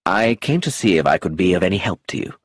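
A reserved old colonel speaks low, gently and measuredly, as a man reading the story plays him. The voice is husky and breathy, recorded close and dry. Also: expressive, fast, no echo. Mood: gentle.

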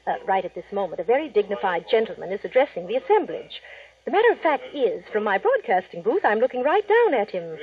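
An actress playing a scatterbrained, self-important Hollywood gossip columnist in a chatty, rambling 1940s radio style, on a vintage recording. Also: female voice, mid-range voice, sing-song, quick pace, phone-quality sound, close to an everyday voice. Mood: gushing, breezy.

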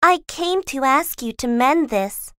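A shy, well-mannered young girl in a children's storybook reading, voiced by a man. Her thin, breathy falsetto sits far above a man's natural range, and she speaks softly and hesitantly. The recording is dry and close. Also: lively intonation, a little slow, no room sound, full character voice. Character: shy, polite young girl, gentle and earnest.

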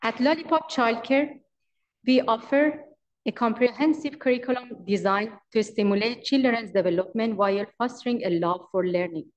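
A woman in her forties with an Iranian accent, reading a prepared presentation at a podium in a halting way as a business owner. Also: on the high side, highs cut off. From Iran. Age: 40s. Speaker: daycare owner and manager presenting. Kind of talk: prepared presentation at public meeting. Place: large council chamber. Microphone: podium microphone.

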